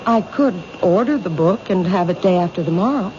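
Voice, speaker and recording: An actress playing a polite, reserved bookshop owner in a 1970s radio drama, courteous and restrained. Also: female voice, lowish voice, lively intonation, fairly quick, lightly acted. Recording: dull old radio recording, light noise.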